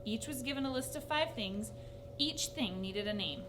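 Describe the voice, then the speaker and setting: A woman reading a rhyming children's story aloud in an animated, theatrical way. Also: fairly high pitch, normal speed. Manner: animated, theatrical with character voices. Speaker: storybook reader. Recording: noisy recording with steady hum.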